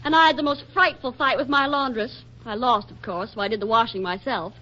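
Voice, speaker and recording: High, bright-voiced harried 1940s housewife. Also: female voice, a little fast. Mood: exasperated. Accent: American. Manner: wry, dramatically exasperated, brittle politeness. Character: harried, jealous young housewife and mother, sharp-tongued. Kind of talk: 1940s radio comedy acting. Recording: dull, band-limited 1940s radio recording.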